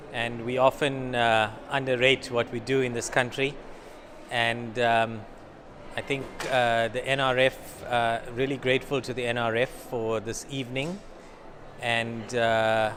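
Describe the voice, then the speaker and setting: Guy, thankful, lots of uhs, noisy recording. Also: medium pitch. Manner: grateful, halting with fillers. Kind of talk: event interview.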